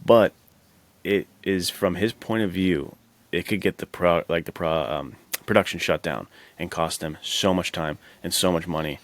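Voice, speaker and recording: A man co-hosting a podcast, chatting casually about films in an informal, halting, discursive way. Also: low-pitched, no echo. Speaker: podcast co-host.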